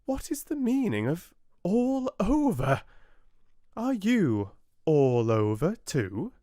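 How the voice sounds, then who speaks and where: A tiny, joyous storybook mayfly. The voice is pitched far up and swoops about with innocent wonder and eagerness, recorded dry. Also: male voice, huge pitch swings, takes it slowly, warm tone, dry recording, full character voice. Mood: curious, merry. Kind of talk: storybook character voice.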